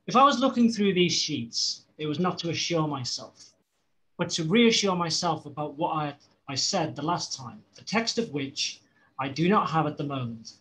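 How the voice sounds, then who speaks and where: A man's voice: fairly high pitch, expressive, normal speed, bright, forceful tone, rough recording. A man with a Scouse accent reading a dense seminar text aloud over a video call, with frequent restarts and self-corrections. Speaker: study group member reading aloud.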